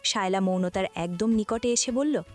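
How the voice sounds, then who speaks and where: Lady narrator reading a story, medium pitched voice, music playing behind. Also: moderate pace, a little mellow.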